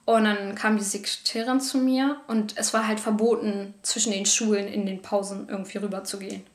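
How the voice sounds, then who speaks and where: A young woman from Germany, telling personal stories to the camera from her sofa, candid and animated. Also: medium pitch, brisk, reverberant. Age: young adult. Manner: candid, animated, gestures a lot. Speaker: vlogger. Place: living room, on a sofa.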